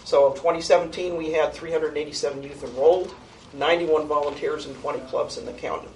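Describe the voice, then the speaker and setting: A woman with a low-register voice giving an informal annual program report to a board, conversational, with frequent ums and restarts. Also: fairly even intonation, fast talker, darkish tone. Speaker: youth program coordinator presenting an annual report. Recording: distant mic in a meeting room with faint noise.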